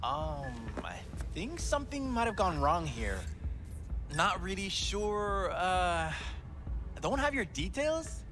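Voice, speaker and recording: A jittery, evasive club worker. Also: male voice, high-pitched, big pitch swings, slow, exaggerated voice. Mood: nervous. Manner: hesitant, evasive, fidgety. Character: nervous young male club worker. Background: soft club music.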